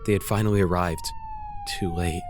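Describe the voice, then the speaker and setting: A man's voice: lowish voice, wildly swooping pitch, moderate pace, noisy background. A man reading a first-person horror story as a dramatic scripted narration, grave and intense.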